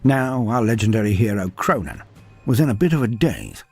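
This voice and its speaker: A man's voice: on the low side, sing-song, moderate pace, slightly rough, no room sound. A man narrating a humorous story in a dry, wry manner, breaking off into playful sidebars. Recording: faint music behind narration.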